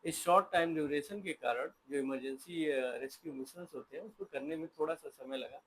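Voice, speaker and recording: A man's voice: on the high side, fairly quick, slight background noise, poor audio. An Indian man giving an informal explainer talk, mixing English terms into his explanatory speech. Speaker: presenter explaining a term.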